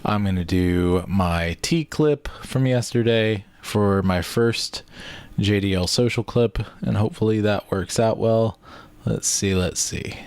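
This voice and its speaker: A man's voice: sits low, a little mellow, clear, resonant tone. A man talking casually as a vlogger, informally thinking aloud while he works. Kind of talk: casual vlog talk.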